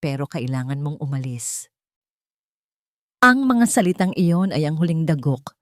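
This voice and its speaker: A woman's voice: fairly low pitch, no room sound. A woman narrating a scripted audio drama as a storyteller, in a dramatic style.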